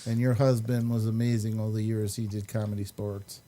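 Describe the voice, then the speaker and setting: A man hosting a podcast in a casual, chatty way, with halting and hesitant speech. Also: on the low side, flat delivery, dark tone, slight background noise. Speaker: podcast host. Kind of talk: casual chat.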